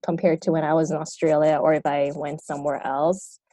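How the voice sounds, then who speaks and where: A woman's voice: sits low, flat delivery, brisk, dark tone, no room sound. A young woman from Thailand, a podcast guest, talking casually and hesitantly as she thinks aloud. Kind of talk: casual conversation.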